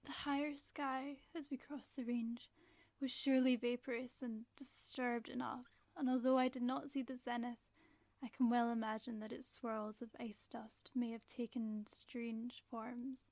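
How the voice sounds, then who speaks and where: A haunted woman professor delivers a grave warning as a radio drama monologue. Her voice sits on the high side and stays very level, with formal, deliberate phrasing. The sound is dry and close, with no room around it. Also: little pitch movement, darkish tone, no room sound. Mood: haunted, brooding. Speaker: narrator.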